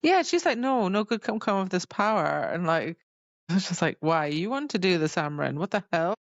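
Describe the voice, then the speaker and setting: A woman's voice: on the low side, expressive, normal speed, dry recording. A woman co-hosting a book podcast, chatting casually and retelling scenes informally with exclamations and quoted lines. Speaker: podcast co-host. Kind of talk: casual book discussion chat. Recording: dry, slightly dull lo-fi sound.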